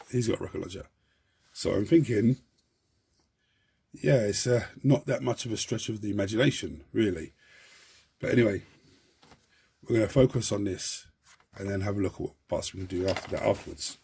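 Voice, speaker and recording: Hoarse, croaky hobby host. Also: male voice, on the low side, moderate pace, long pauses, warm tone. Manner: chatty, rambling, repeats and restarts words. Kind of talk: model-building tutorial talk.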